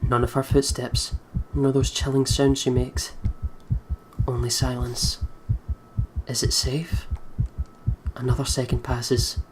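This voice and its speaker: A woman's voice: very deep voice, flat delivery, slow, pauses often, noisy background. A woman with a Scottish accent hosting a let's play, casual and sweary, switching between commentary and reading the game text aloud. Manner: sweary, informal. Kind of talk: casual gaming commentary with read-aloud narration. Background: game music.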